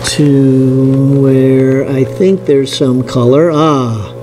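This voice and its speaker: A man, giving an informal, halting lab demonstration as an instructor, thinking aloud. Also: medium pitch, over music. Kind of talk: informal demonstration lecture at a whiteboard. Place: indoor classroom lab. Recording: steady room noise, classroom camera audio.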